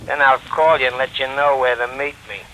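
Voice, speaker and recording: An actor playing a brusque, slangy crook in a 1940s radio drama, curt and menacing. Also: male voice, mid-range voice, fairly even intonation, fairly quick, natural voice. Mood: curt, threatening. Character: brusque, slangy underworld crook making ransom demands. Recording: hissy old 1940s radio recording.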